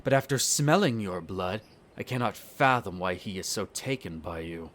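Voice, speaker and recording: A man's voice: sits low, lively intonation, normal speed, fairly bright tone. A man playing an irritable aristocratic vampire in a roleplay audio drama, speaking formally with sneering condescension toward humans. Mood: irritated, dismissive. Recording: nature ambience with birdsong behind. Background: birdsong and garden ambience.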